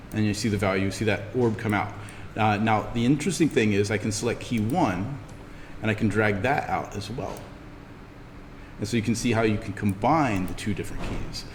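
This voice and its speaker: A man giving an informal in-class software tutorial, explaining step by step as he demonstrates. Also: lowish voice. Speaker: instructor. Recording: reverberant room with background noise.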